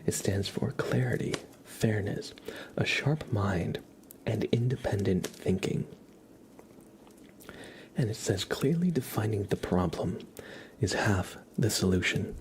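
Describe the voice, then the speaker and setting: A man hosting a casual ASMR livestream, chatting and reading cards in a rambling, soft-spoken way that drifts into whispering. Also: fairly low pitch, slow pace, long pauses, rough recording. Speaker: livestream host. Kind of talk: casual live ASMR chat with tarot card readings. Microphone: headset. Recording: clear background noise.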